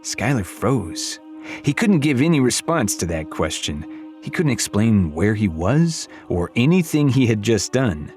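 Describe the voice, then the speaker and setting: A male audiobook narrator reads fantasy fiction in a low voice. His delivery is scripted storytelling, with music clearly heard behind it. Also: sing-song, unhurried, a little mellow.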